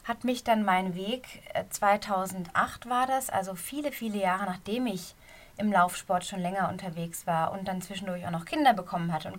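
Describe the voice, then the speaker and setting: A middle-aged woman with a slight cold and a somewhat hoarse voice, a running coach giving a casual, earnest podcast talk from a quiet corner of her bedroom. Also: medium pitch. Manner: earnest, encouraging, talks straight to the listener.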